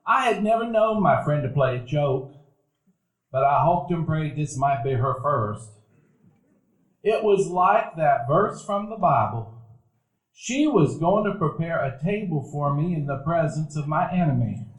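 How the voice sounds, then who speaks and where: A man's voice: on the high side, lively intonation, measured pace. A middle-aged man narrating a memory with wry, reflective storytelling in a live stage radio play. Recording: echoey live theater room.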